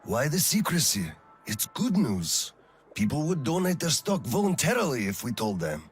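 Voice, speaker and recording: An actor playing a weary, conscientious middle-aged colonel in a game drama, speaking in a reflective, measured and slightly hesitant way. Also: male voice, mid-range voice, big pitch swings, faint music behind, close to an everyday voice. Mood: questioning. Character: weary, conscientious male colonel and lone father. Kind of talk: dramatic video game cutscene acting.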